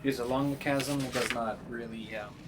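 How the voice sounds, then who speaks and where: A man with a middle-pitched voice acts as game master, describing scenes off the cuff. He often pauses to find his wording, and clear background noise sits behind him. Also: steady tone. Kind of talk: improvised tabletop game narration.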